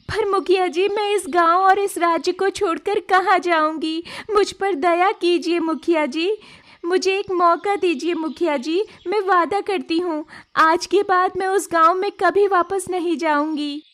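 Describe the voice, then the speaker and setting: Lady doing a meek village girl for a cartoon story, high voice, slow and pleading, very respectful. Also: fairly even intonation, fairly bright tone. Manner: pleading, deferential. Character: meek, sorrowful young village woman. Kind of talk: cartoon moral story voice acting.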